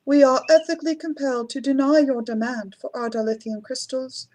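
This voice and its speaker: An actress playing a dignified, resolute pacifist leader of an alien council in an audio drama, solemn and formal. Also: female voice, high-pitched, fairly even intonation, brisk, dark tone, lightly acted, low-quality recording. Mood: calm defiance.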